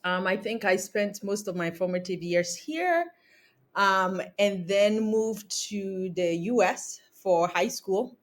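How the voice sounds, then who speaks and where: A woman in her thirties from Ghana, a guest on a remote podcast interview, telling her career story in a measured way with frequent ums. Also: medium pitch. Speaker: guest, cosmetics founder. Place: home in Accra, remote call. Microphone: remote call recording.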